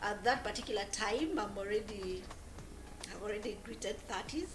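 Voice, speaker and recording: A woman in her forties from Kenya, telling her story as a guest in an interview, pausing and restarting her phrases often. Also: medium pitch, reverberant, background noise. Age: 40s. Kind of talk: conversational interview.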